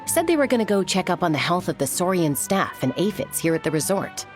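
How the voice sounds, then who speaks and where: Friendly game companion, easygoing, slightly low, lively intonation, faint music. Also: female voice, a little fast. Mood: relaxed, friendly. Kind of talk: animated game voice acting.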